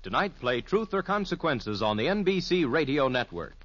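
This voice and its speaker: A man's voice: on the high side, big pitch swings, normal speed, over loud music. An American man reading network promotions in 1950s radio advertising style. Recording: dry, muffled old radio broadcast.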